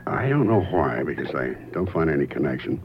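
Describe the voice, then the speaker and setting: An actor playing a probing, wry private investigator in a 1970s radio detective drama. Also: male voice, extremely low pitch, big pitch swings, fairly quick, crowd noise. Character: probing, laconic male private investigator narrating his case. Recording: muffled radio recording under loud music.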